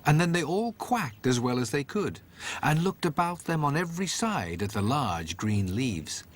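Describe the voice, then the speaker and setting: A man's voice: medium pitch, big pitch swings, moderate pace. A man telling a fairy tale as a scripted, theatrical storybook narration.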